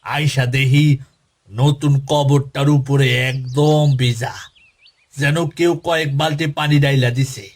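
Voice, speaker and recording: A man acting a husky, anxious Bangladeshi villager. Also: medium pitch, steady tone, unhurried, natural voice. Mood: shaken. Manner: colloquial rustic speech. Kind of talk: audio horror drama acting.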